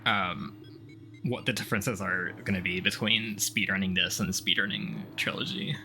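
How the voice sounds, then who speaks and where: A man's voice: low voice, steady tone, normal speed, rough recording. A man giving casual, rambling gameplay commentary as a streamer, swearing freely and picking at details. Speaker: streamer playing a video game. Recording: game music behind the voice. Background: video game music.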